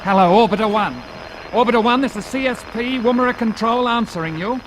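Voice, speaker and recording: A man playing a dutiful, alert control room radio operator, formal and deliberate, in 1950s radio acting. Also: very high voice. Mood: alert, insistent. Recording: noisy old 1950s radio recording.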